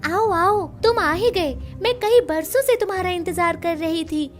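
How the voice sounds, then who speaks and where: Casting: woman as disguised enchantress witch, very high, eager, luring, animated fairy tale. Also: unhurried, faint music behind. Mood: eager, coaxing. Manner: coaxing, gushing. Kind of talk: cartoon fairy-tale voice acting.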